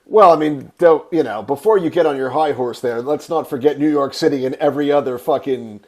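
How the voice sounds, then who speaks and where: A man from Quebec with English as his mother tongue talks loosely in a podcast chat. He jokes around, teases his co-hosts and breaks into loud laughs. His voice sits at an ordinary middle pitch for a man. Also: brisk. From Quebec, Canada. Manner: joking, laughs loudly. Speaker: podcast co-host. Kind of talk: casual chat.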